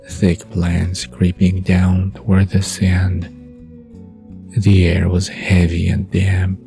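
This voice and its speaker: A man narrating a scripted bedtime story in a soft, dreamy manner. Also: sits low, vocal fry.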